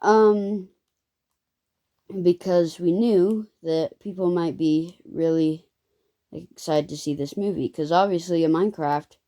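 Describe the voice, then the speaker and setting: An eleven-year-old girl telling a casual story to viewers in a halting, rambling way, her voice occasionally squeaky. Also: dark tone. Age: child, eleven. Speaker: first-time video creator.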